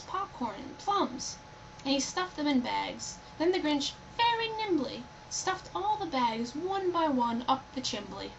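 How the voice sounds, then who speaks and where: High-voiced woman reading a story, noisy recording. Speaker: storybook reader. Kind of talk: expressive rhyming story narration. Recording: dull, lo-fi, loud background noise.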